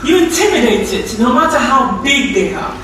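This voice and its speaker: A woman's voice: high voice, sing-song, normal speed, fairly bright tone, a little hiss or hum. A woman with a Nigerian accent acting a devoted, teasing wife in a musical stage play, with theatrical, lilting delivery. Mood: encouraging. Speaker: stage actress and singer. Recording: echoey theatre from far off, music behind.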